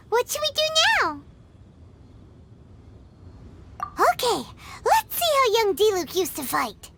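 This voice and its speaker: A woman voicing a tiny, chirpy, excitable female fairy companion in a video game, with a squeaky childlike voice and expressive, exclamatory delivery. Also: very noisy, exaggerated voice. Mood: pondering. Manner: refers to self in third person, exclamatory, expressive. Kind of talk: video game character dialogue. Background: game music.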